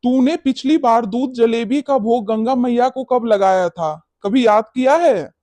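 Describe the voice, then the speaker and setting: A man's voice: extremely high pitch, unhurried, darkish tone, no room sound. A man playing a greedy, glib and bossy village priest in a cartoon bedtime story, scolding and cajoling. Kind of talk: animated bedtime story character acting.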